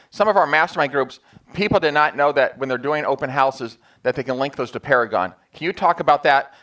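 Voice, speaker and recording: A man's voice: medium pitch, a bit bright. A man informally leading a sales meeting, talking to a group in a colloquial, joking way. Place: meeting room in front of staff.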